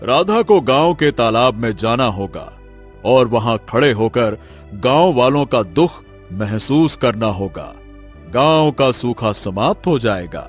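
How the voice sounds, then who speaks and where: A man voicing a grave, all-knowing hermit sage who speaks in measured pronouncements in a storybook tale. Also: medium pitch, expressive, soft background music. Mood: solemn. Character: solemn, all-knowing male forest sage.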